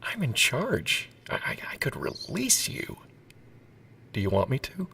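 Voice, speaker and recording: A man's voice: mid-range voice, huge pitch swings, a little slow, creaky, sharp tone, slight background noise, heavily acted. A man playing an imperious, coolly menacing boss in a sci-fi audio drama, commanding and pointed with his questions. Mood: probing, amused.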